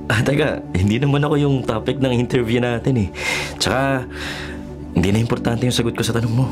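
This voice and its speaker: A man's voice: medium pitch, a little fast, music in the background, close to an everyday voice. An actor playing a skeptical young male journalist with a Filipino accent, hesitant and evasive, in a radio drama. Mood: guarded.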